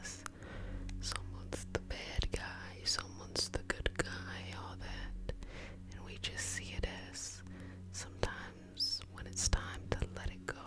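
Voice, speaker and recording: Woman, whispered voice, slow pace, ASMR reiki healer, guided meditation, soothing, repetitive, gently encouraging.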